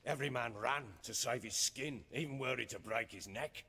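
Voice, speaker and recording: An actor playing a blunt, brooding nobleman and troubled father in a video game, telling things in a rough-spoken, weighty way. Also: mid-range voice, normal speed, bright, forceful tone, long reverb tail. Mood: grim, haunted.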